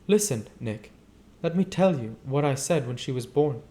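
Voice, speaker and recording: A man's voice: medium pitch, expressive, normal speed, mellow, voice pushed up, lightly acted. A man voicing a jaded young society wife from a novel, with a breezy, dramatic, affected manner.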